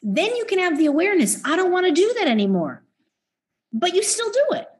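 A woman speaks at a middle pitch in a reflective conversation. She explains her ideas with emphasis, posing rhetorical questions and voicing inner thoughts as she goes. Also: big pitch swings, brisk.

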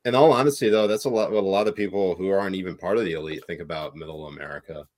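A man with a very low voice chats about politics on a podcast. He rambles and circles back, piling on filler words as he works toward his point. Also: racing, darkish tone. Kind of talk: casual political chat.

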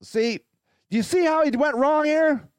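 A man hosting a podcast in a loud, profane, ranting monologue. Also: very high voice, unhurried, no room sound, studio quality. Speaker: podcast host. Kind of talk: casual monologue.

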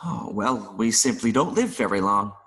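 Man playing a nervous, pleading male mayfly, swooping pitch. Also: expressive, a bit bright. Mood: patient, explaining. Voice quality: buzzing interjections between phrases. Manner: earnest, halting, pleading. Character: pleading adult male mayfly, nervous, vain, gently patient. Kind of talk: audio play acting.

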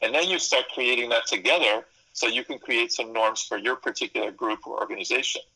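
Man, first language Spanish, professor, sharp bright tone, husky, thoughtful, radio interview. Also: medium pitch, brisk, rough recording. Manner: thoughtful, explanatory, piles up lists and restarts. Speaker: psychology professor and consultant. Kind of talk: interview answers.

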